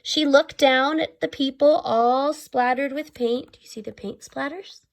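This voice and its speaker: A woman reading a children's story aloud expressively, like a teacher, pausing to explain and ask the young listeners questions. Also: high-pitched, gravelly.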